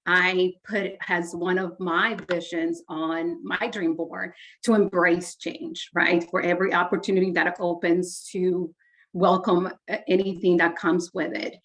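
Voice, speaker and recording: A woman's voice: on the low side, little pitch movement, a little slow, a bit bright, poor audio. A woman in her forties from Honduras, a Spanish native speaker, talking in an earnest, reflective way with frequent fillers and restarts as an interview guest. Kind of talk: casual interview conversation.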